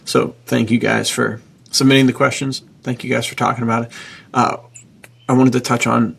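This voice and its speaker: A man's voice: medium pitch, flat delivery, normal speed, creaky, fairly bright tone, breathy edge. A man hosting a podcast in a casual, enthusiastic, effusive conversational style. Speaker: podcast host.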